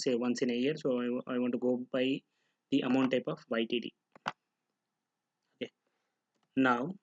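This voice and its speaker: A man narrates a software tutorial as an instructor, explaining step by step and often posing questions that he then answers. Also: mid-range voice, no room sound. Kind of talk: screen-recorded tutorial narration. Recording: noticeable background noise with clicking.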